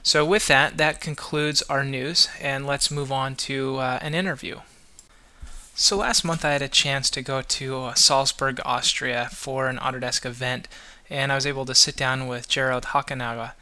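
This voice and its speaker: A man's voice: mid-range voice, fairly even intonation, normal speed, pushed and bright, smooth. A man hosting a podcast, talking casually and loosely, with many restarts and fillers. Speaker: podcast host.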